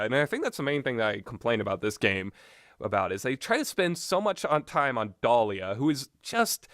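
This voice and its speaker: A man giving rambling, opinionated game commentary in a blunt, exasperated, sweary and halting manner. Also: on the high side, fairly bright tone, no echo, studio quality. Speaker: video game reviewer.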